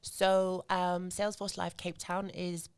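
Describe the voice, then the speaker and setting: A woman's voice: on the low side, little pitch movement, slow, smooth. A woman from Zimbabwe, in her thirties, chatting casually as a podcast guest, full of fillers like "like" and "you know what I mean". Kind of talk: casual interview chat.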